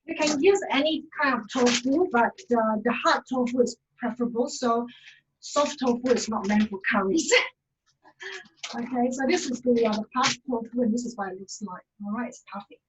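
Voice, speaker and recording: A woman from Malaysia, giving a casual cooking demonstration in a halting way, full of fillers and restarts. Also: on the high side, background noise.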